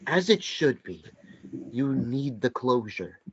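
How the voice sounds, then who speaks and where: A man voicing the ghostly memory of a dead lover in a table read of a stage play, speaking in a slow, gentle, earnest way. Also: medium pitch, sing-song, a little hiss or hum. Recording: dull lo-fi sound.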